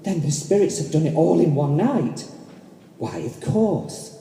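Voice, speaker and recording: Casting: performer acting an exuberant, pleading old miser for a radio play, unhurried and mellow, with emphatic repeats. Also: medium pitch, expressive, creaky, slight background noise. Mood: giddy, overjoyed. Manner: theatrical, emphatic, repeats phrases. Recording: echoey hall, long reverb.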